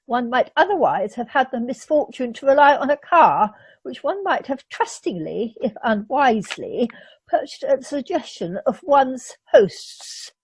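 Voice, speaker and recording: Woman acting a fussy, high, swooping society lady. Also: very animated, a little slow, darkish tone, breathy edge, no echo, full character voice. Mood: affronted, arch. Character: fussy, affronted upper-class lady houseguest. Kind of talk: amateur radio play acting.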